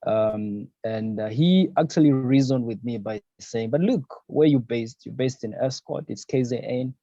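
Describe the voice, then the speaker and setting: A man's voice: mid-range voice, measured pace, dark tone, dry recording. A young man from Zambia, a fashion designer, answering interview questions in an easygoing way with lots of fillers. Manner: easygoing, many fillers and "you know, man". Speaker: fashion designer, podcast and radio host. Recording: dull lo-fi sound.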